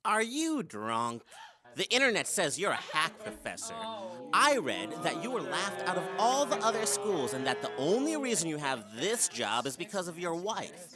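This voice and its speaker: A man's voice: high-pitched, very animated, normal speed, over music. A man acting a brash young student in a comedic radio drama, blunt and mocking. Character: brash, insulting young male student heckler.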